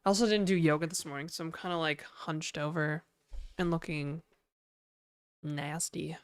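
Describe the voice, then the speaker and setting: A woman from Canada talks to her stream chat in an unhurried, rambling, joking way. Her voice is a bit low and smooth, though hoarse this morning, and the sound is dry and close. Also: steady tone, dry recording. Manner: rambling, joking, slips into mock French and a put-on high e-girl voice. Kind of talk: casual live-stream chat with viewers.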